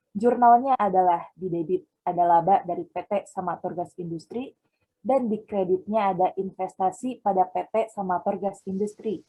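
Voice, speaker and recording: Husky, mellow young woman presenting. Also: medium pitch, low-quality recording. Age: young adult. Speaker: student presenter. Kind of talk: prepared class presentation.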